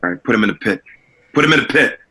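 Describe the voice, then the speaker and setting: A man's voice: on the low side, expressive, very fast, bright, forceful tone. A man acting in a table read as a grave, commanding boy who leads the group.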